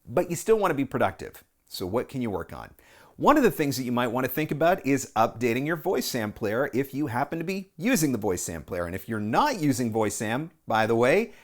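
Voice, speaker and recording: A man in his forties with a North American accent talks conversationally as a coach hosting a tutorial, stressing key words. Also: mid-range voice. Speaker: voiceover coach and video host. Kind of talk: casual tutorial talk to camera. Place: small indoor room at home or office. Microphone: lapel mic.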